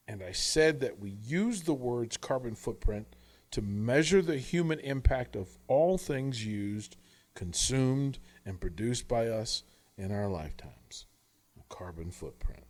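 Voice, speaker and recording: Earnest man narrating with deliberate pauses. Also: medium pitch. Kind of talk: scripted audiobook-style narration.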